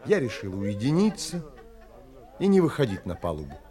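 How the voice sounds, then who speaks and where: An actor playing an earnest, reflective gentleman traveler, narrating an audio drama in literary, polite phrasing. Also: lowish voice, huge pitch swings, some chatter behind. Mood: reflective, wistful. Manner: literary, measured, polite but firm.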